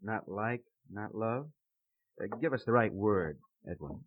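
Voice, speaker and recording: An American actor playing a blunt, sardonic, plain-spoken police detective in a hard-boiled radio crime drama. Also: male voice, fairly low pitch, animated, a little slow. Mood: gruff, impatient. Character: Detective Muggavan, gruff, wry, plain-spoken male cop. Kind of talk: hard-boiled old-time radio acting. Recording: muffled vintage radio recording.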